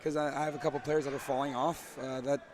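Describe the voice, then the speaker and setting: A man's voice: medium pitch, little pitch movement, fast talker, noisy background. A man giving rambling, casual interview answers as a team captain, his voice starting to give out. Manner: rambling, peppered with "you know". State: losing his voice. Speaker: esports team captain and player. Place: large indoor gaming event venue. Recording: crowded event venue behind. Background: crowd chatter.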